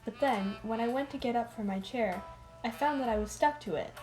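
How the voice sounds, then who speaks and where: A woman playing a patient but firm, sometimes frustrated schoolteacher in a radio play, speaking in a measured, polite way. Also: fairly high pitch, darkish tone, faint music behind, close to an everyday voice, poor audio. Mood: puzzled, polite. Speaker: actor and narrator in a radio play. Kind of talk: first-person radio drama narration and dialogue.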